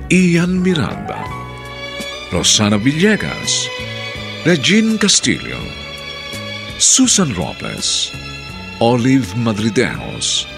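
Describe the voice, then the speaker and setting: Male announcer, deep-ish voice, dramatic sweeping intonation, scripted radio credits, music behind. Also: vocal fry.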